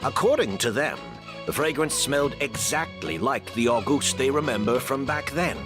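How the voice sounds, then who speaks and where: An actor playing a gracious, apologetic host who explains things politely and considerately. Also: male voice, mid-range voice, expressive, moderate pace, music in the background, close to an everyday voice. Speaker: hotel owner and host.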